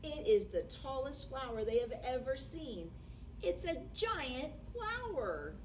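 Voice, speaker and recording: A woman reading a picture book aloud to young children as a storytime host, with deliberate, expressive phrasing and dramatic pauses. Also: high voice. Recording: distant microphone with faint background noise.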